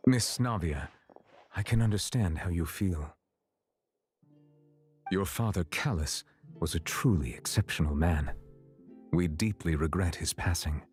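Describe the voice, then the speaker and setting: A man voicing a stoic chief justice in a video game, formal, measured and emotionally restrained. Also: sits low, a little mellow. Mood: solemn condolence. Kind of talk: English video game voice acting. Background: background music.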